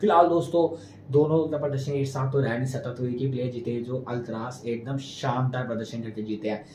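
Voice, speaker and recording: A young man hosting a post-match tennis analysis to camera in a small room, chatty and brisk, speaking to viewers as friends. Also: mid-range voice, fairly even intonation, darkish tone. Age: young adult. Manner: gestures with hands while talking. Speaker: sports video host. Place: small indoor room with window blinds. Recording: roomy echo, faint room noise.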